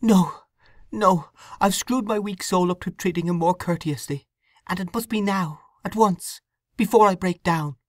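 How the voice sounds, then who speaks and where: A woman doing a high anguished young woman. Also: unhurried, no echo, studio quality, close to an everyday voice. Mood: resolute. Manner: anguished, pleading, breathless. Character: young distraught woman torn by conscience.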